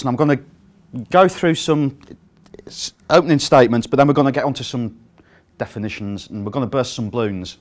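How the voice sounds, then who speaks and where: A middle-aged British man giving a conference talk, projecting his voice without a microphone and speaking with plenty of ums and uhs. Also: medium pitch. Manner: projecting to fill a room without a sound system; lively, frequent ums and uhs. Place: conference room.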